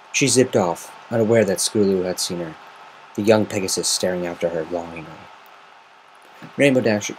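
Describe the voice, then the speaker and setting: A man's voice: low voice, a little hiss or hum, low-quality recording. A man narrating a story as an amateur semi-dramatic reading. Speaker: amateur fan fiction reader.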